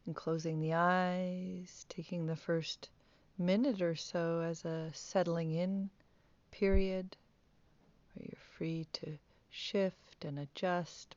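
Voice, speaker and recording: A woman teaching guided meditation, speaking softly with calm, gentle instructions and long pauses. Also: fairly low pitch, dry recording, dull audio.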